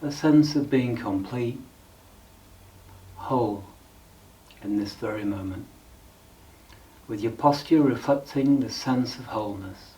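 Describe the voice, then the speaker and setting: A man leading a guided meditation in a calm, measured, instructional way with frequent pauses. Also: medium pitch, recorded from a distance, rough recording.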